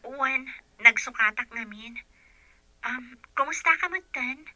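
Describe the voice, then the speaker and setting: Very bright-voiced young Ilocano woman. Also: high voice, takes it slowly, no room sound, heavily acted, poor audio. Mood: friendly, tentative. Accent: Ilocano Filipino. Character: Sunshine, young woman phoning a friend for help. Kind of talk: Ilocano radio drama acting.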